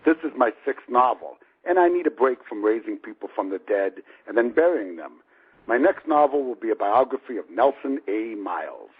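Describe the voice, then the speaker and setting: A man's voice: medium pitch, expressive, normal speed, slightly rough. A man in his fifties, an author reading his own book prologue aloud in a wry, conversational manner. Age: 50s. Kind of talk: audiobook narration of a personal prologue. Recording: muffled, lo-fi radio-like sound.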